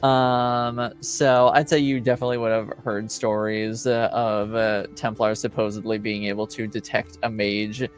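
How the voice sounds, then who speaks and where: A man improvising narration as game master in a tabletop roleplaying game, halting as he searches for his words. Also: fairly low pitch, flat delivery, soft background music.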